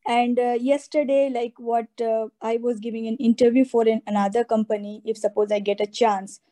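Woman caller, super mellow dark tone but kinda high, lots of like and uh. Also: level tone, normal speed. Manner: hesitant, filler-heavy, anxious questions.

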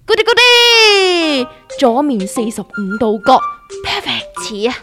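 A woman's voice: high-pitched, sing-song, takes it slowly, over loud music, heavily acted. A woman with a Hong Kong accent playing a cheeky, curious novice investor, exclamatory and teasing, in a comic radio drama. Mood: playful.

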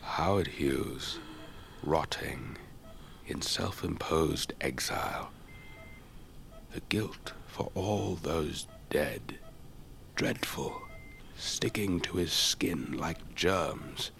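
An actor playing an elderly English retired air force officer, urbane and measured, telling tales in a radio drama. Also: male voice, a bass voice, creaky, slight background noise, full character voice. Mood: grave, reflective. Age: older. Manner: measured, old-fashioned gentlemanly, theatrical raconteur.

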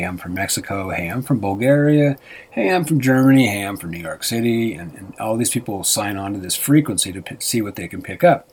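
Husky rambling male radio co-host. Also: lowish voice. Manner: meandering, many fillers and restarts. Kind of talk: rambling casual radio chat.

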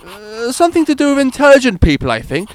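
A man's voice: very high voice, sing-song, fast, voice pushed up. A man voicing a pompous, dim male playwright reading his letters aloud. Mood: delighted. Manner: theatrical letter reading, drawn out.

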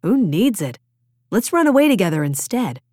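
A woman's voice: medium pitch, very animated, moderate pace, darkish tone, dry recording, clean studio sound. A woman voicing a restless, wistful teenage boy in an audiobook, with casual, half-joking pleading. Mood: pleading, eager. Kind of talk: audiobook character dialogue.

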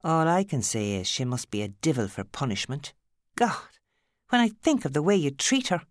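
A woman's voice: deep voice, very animated, moderate pace, dry recording, clean studio sound. Woman acting a feisty, scolding Irishwoman of fifty. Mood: scornful. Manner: scolding, exclamatory, forthright. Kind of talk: audiobook character acting.

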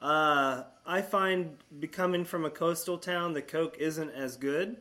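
An American man hosting a casual conversation, halting and repeating his phrases as he goes, with some swearing. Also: fairly high pitch, pushed and bright. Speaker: host interviewing his friend. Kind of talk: casual chat.

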